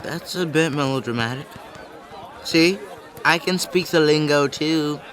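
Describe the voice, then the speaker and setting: A man playing a laid-back, joking, always hungry teenage high-school buddy in an audio drama, slangy and teasing. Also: unhurried, fairly bright tone. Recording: busy crowd behind voice.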